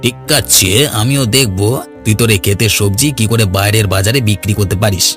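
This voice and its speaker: A man's voice: lowish voice, brisk, a bit bright, faint music behind, lightly acted. A man playing a brash, quarrelsome young villager in a cartoon, talking bluntly and goading in colloquial speech. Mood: menacing. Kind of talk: Bengali cartoon dialogue.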